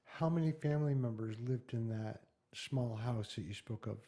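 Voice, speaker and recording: A man's voice: mid-range voice, normal speed, dark tone. A man of fifty, interviewing an elder and asking questions hesitantly, with many fillers. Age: 50s. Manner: hesitant, filler-heavy, ad-libbing. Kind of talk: oral history interview.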